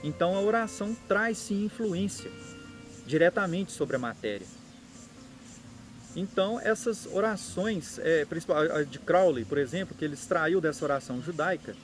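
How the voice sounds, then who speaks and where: A Brazilian man hosting a channel, explaining at an unhurried pace and thinking aloud with small restarts. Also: a little high, expressive, soft background music. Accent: Brazilian Portuguese. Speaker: occult channel host. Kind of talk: explanatory monologue.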